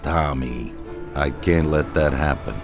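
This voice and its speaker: An actor playing an older male mob boss, shrewd and commanding, who gives orders in a slow, deliberate way in a video game cutscene. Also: extremely low pitch, heavily acted. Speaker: crime boss. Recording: clear music bed behind voice. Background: music.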